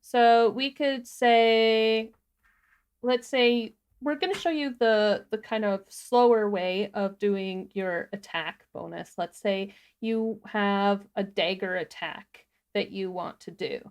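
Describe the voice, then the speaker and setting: A woman co-hosting a livestream, explaining software step by step in an informal, casual tutorial style. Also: medium pitch, slow pace, clean tone. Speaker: livestream co-host demonstrating a tutorial.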